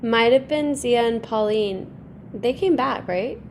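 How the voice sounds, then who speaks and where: A woman's voice: fairly high pitch, slow, slight background noise. An actress playing a firm, unflappable woman attorney, cool and assertive, in a staged play reading. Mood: casual.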